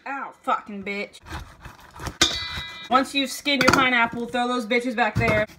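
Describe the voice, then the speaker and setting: A woman's voice: on the high side, fairly even intonation, measured pace, very noisy. A young woman in her home kitchen giving a mock cooking tutorial to a camera in front of her, swearing constantly in a brash, joking way. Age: young adult. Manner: brash, profane, mock-instructional. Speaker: vlogger. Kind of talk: comedic cooking vlog. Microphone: camera in front at table height. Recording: music playing loudly behind.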